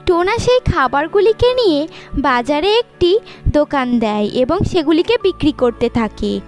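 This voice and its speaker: High, lively woman storyteller. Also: normal speed, soft background music. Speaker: storyteller and channel narrator. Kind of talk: scripted children's story narration.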